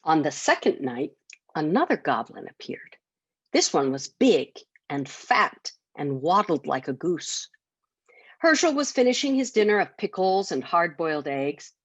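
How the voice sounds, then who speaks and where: A woman's voice: sits low, very animated, measured pace, breathy edge, no room sound. A woman reading a children's bedtime story expressively, as a storyteller.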